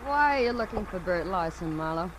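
An actress playing a tough, slangy nightclub ice skater in a 1940s radio drama, brassy and suspicious. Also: female voice, on the low side, lively intonation, fairly quick, noisy background, close to an everyday voice. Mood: wary, brusque.

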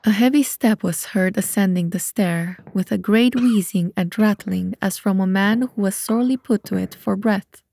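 A woman's voice: medium pitch, normal speed, vocal fry, few pauses, warm tone. A woman reading scripted narration for an audio drama of a classic mystery story.

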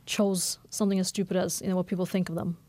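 Novelist guest, woman in her thirties, quick, candid, lots of "you know", some vocal fry, dry studio sound. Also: on the low side, dry recording. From Bangladesh. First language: Norwegian. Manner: fast, candid, animated with many fillers. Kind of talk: conversational radio interview.

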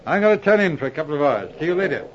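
An actor playing a brisk, courteous police inspector in a 1940s radio drama, speaking with clipped authority. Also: male voice, fairly high pitch, sing-song. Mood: businesslike. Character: brisk, courteous male Mountie inspector on wartime service. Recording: muffled, noisy old radio recording.

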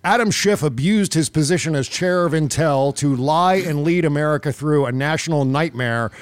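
Male podcast host, higher register, dry sound. Opinionated, emphatic, casual political talk. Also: moderate pace, clean tone, dry recording.

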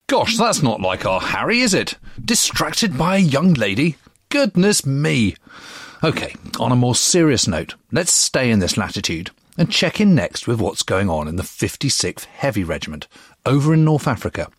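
A man's voice: mid-range voice, very animated, moderate pace, slightly rough, no room sound, studio quality. A man hosting a history podcast, narrating conversationally with playful asides. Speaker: podcast host.

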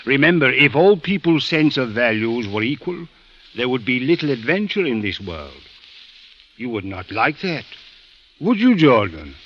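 A calm, wise police officer , voiced by an actor, talks in a measured, philosophical way with a lively, rising-and-falling pitch. The sound is dull, like an old radio broadcast. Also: male voice, slight background noise, lightly acted. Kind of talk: 1940s radio acting.

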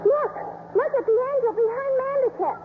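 A woman's voice: very high-pitched, moderate pace. An actress playing an earnest, innocent eight-year-old orphan girl in a 1950s radio drama. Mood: excited. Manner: eager, innocent, polite. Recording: muffled, noisy old radio broadcast.

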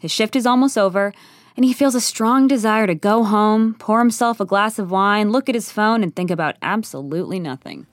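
A woman reading scripted comedy-drama narration in a measured, wry storytelling manner. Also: mid-range voice, no echo, studio quality.

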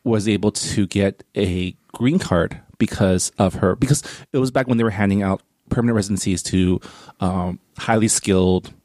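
A man with a North American accent and a fairly low voice talks in a casual group discussion. He thinks aloud reflectively and often checks that others follow. The sound is dry and close. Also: normal speed, dark tone, dry recording. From born in Canada, grew up in America. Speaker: book discussion panelist.

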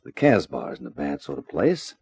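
Menacing nightclub racketeer, 1950s radio drama: dark, husky, drily sardonic. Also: male voice, very deep voice, wildly swooping pitch, moderate pace, heavily acted. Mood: businesslike menace. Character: smooth-talking, menacing nightclub gangster boss.

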